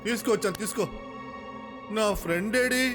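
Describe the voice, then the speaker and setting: Cartoon grandpa, male actor: elderly, very high register, naive and excitable, flustered repetitions, clear music underneath. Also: a little fast, lightly acted. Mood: flustered, eager. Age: older. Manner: flustered, repeats himself. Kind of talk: cartoon dub acting.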